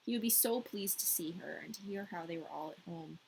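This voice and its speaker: A woman's voice: fairly low pitch, a little fast, gravelly, darkish tone, slight background noise, poor audio. A woman hosting a story podcast, reading aloud with playful, irreverent casual asides.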